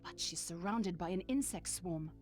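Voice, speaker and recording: A woman's voice: lowish voice, expressive, normal speed, darkish tone, lightly acted. A woman playing a determined, earnest young heroine, a loyal monster hunter in a fantasy game, with music behind her. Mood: focused, planning. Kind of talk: fantasy game character acting.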